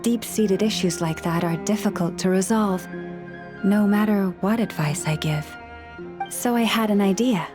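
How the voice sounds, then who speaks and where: A woman voicing a gentle young Moon Chanter in a video game, speaking in a calm, measured, earnest way as a caring counselor to people and animals. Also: mid-range voice, over music, lightly acted. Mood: thoughtful.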